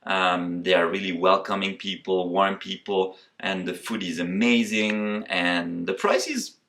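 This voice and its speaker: A man in his forties with a French accent, answering quick questions on a tour of his company's office, with many fillers and restarts. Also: low-pitched, expressive, fairly bright tone. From France. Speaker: company co-founder. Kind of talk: rapid-fire walking interview. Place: company office in Paris, indoors and terrace.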